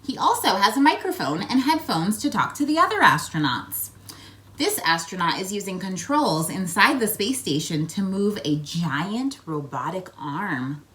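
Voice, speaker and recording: A woman reading a children's picture book aloud in a playful, expressive way, prompting young listeners with questions. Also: mid-range voice, moderate pace. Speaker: children's read-aloud host.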